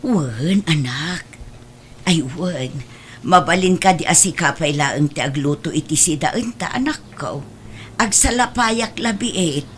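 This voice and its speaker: A woman's voice: deep voice, lively intonation, slow pace. A woman playing a meek, self-sacrificing older mother in an Ilocano-accented audio drama, pleading and apologetic in manner. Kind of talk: amateur radio-style Ilocano audio drama. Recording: background noise and ambience.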